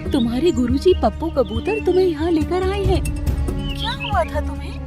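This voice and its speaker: A woman acts out a motherly cartoon crow wife with a high, swooping voice. She is anxious and caring, fretting in exclamations. Loud music plays behind her. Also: lively intonation, normal speed. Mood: worried, tender. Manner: exclaiming, fretful. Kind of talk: cartoon character acting.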